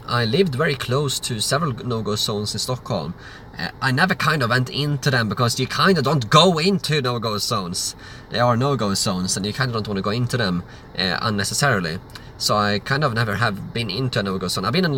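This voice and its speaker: A man's voice: fairly low pitch, pushed and bright, slight background noise. A man, hosting a livestream Q&A and answering chat questions in a loose, rambling way. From Sweden. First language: Swedish. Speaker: livestream host.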